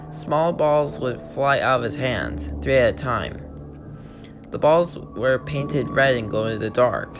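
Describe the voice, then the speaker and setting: Man reading a spooky story. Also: mid-range voice. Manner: plain reading with frequent stumbles and restarts. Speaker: channel host and story reader. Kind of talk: reading a creepypasta aloud.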